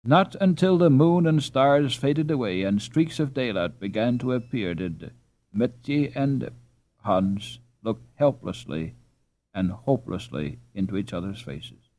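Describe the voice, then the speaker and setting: A man reads a story aloud as an audiobook narrator. His delivery is slow and measured, with dramatic pauses and a pitch that moves expressively across a wide range. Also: expressive, darkish tone.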